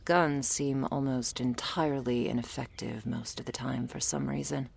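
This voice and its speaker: A woman performs a battle-tired monster huntress with an extremely deep voice for a woman. It is husky and breathy, with a heavy gravelly creak. She is guarded and sardonic, giving curt orders straight to the listener in an intimate audio roleplay. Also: fairly even intonation, normal speed, a little mellow, exaggerated voice. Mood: grim, instructive. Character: gruff, world-weary female monster hunter, curt and guarded.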